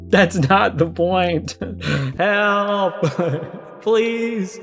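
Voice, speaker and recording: A man's voice: sits high, very slow, gravelly, fairly bright tone. A man giving conversational response commentary, arguing emphatically and repeating his points for stress. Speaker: video creator and host. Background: music with plucked guitar.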